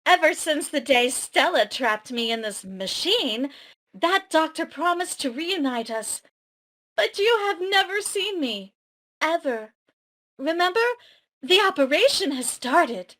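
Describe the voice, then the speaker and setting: Woman acting out a high, dramatic, wounded heroine. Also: expressive, a little slow, fairly bright tone. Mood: wounded, intense. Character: dramatic, wounded, pleading woman in fantasy melodrama. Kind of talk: amateur table read, melodramatic acting.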